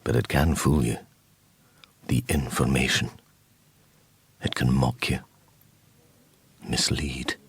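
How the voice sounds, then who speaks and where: Casting: brooding, haunted psychic detective narrator, very low husky voice, radio play. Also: male voice, steady tone, slow, pauses often, heavily acted. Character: haunted, troubled male psychic detective narrating his story. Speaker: narrator and lead character. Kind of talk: radio drama first-person narration.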